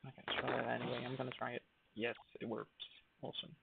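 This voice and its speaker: A man's voice: mid-range voice, level tone, fast talker, vocal fry, a little hiss or hum. A man giving casual live commentary while streaming a game, thinking aloud with hesitant fillers. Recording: dry, phone-like narrow sound.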